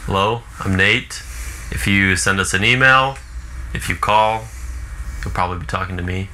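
A man's voice: low voice, normal speed, pushed and bright. An American man in his twenties chatting casually inside a small camper. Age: 20s. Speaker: customer experience staffer. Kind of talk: casual chat. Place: small camper living area indoors. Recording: faint background noise.

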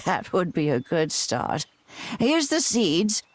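A woman's voice: on the low side, very animated, slow pace, dry recording, heavily acted. An actress playing a feisty, affectionate old grandmother in a video game, with a folksy, doting manner. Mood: gentle, doting. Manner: folksy, doting, hesitant and chuckling. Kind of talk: animated video game voice acting.

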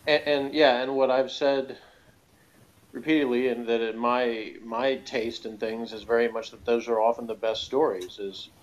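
A man's voice: medium pitch, fairly even intonation, normal speed, dull audio. A man giving his opinions as a guest in a casual review discussion, rambling and hesitant, over an online call. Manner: rambling, hesitant, frequent "you know". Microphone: video call. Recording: faint background noise on online call.

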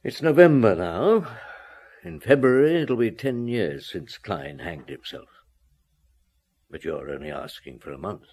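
An actor playing a shrewd police inspector who questions deliberately, in old radio drama style. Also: male voice, sits low, very animated, a little mellow, husky, heavily acted. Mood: pressing, shrewd. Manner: deliberate, interrogating.

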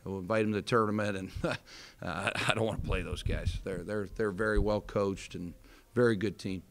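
A somewhat low-voiced American man in his thirties speaks at a postgame press table into a mic in front of him. He is a basketball coach talking candidly, circling back with fillers and restarts as he gathers his thoughts. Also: fairly even intonation, normal speed, vocal fry. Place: indoor press room in front of a sponsor backdrop. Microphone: table microphone in front.

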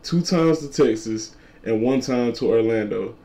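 A twenty-year-old man with a deep voice, a content creator talking casually to camera and repeating his points. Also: a little slow, dark tone. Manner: repetitive, laid-back slangy talk, repeats lines. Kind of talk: casual vlog talk to camera.

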